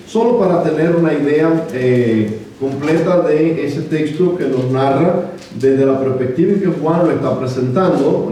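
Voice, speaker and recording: A man with a Cuban accent preaching a sermon and reading scripture in a measured way, with frequent hesitant fillers. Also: mid-range voice, dark tone, slight background noise. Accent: Cuban Spanish. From Cuba. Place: church sanctuary. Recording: echoey church room from far off.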